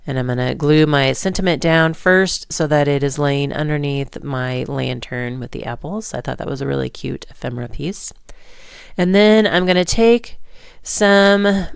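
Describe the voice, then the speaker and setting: Low croaky woman with a cold, very clear close mic in a dry room, easygoing craft voice-over. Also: no room sound. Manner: relaxed, rambling step-by-step narration. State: hoarse and stuffy from a cold. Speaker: card-making crafter.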